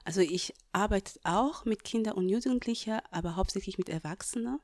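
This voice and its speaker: A woman's voice: medium pitch, fairly quick, a little mellow, no echo, clean studio sound. A woman from Cameroon, a diversity trainer answering interview questions earnestly, with frequent hesitations. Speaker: diversity trainer, workshop leader.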